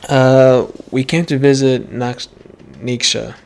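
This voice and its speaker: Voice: mid-range voice, little pitch movement, takes it slowly, a little mellow, a little hiss or hum. A gamer reacting casually to a playthrough, slangy and muttering, often repeating phrases. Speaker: gaming streamer.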